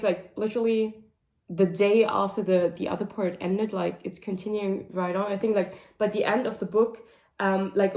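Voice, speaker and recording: A woman with a hoarse, raspy voice talking casually through a book wrap-up, with lots of "like" and "um" fillers. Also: mid-range voice, dark tone. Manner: rambling, full of "like" and "um" fillers. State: hoarse, voice sounding deep and raspy. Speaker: book vlogger. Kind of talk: casual monthly reading wrap-up chat. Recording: roomy echo.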